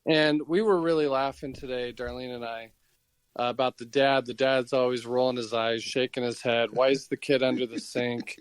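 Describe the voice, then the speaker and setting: A man co-hosting a podcast, chatting casually and often pausing to search for his words. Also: medium pitch, steady tone, smooth, no echo, rough recording. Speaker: podcast co-host. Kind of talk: casual chat.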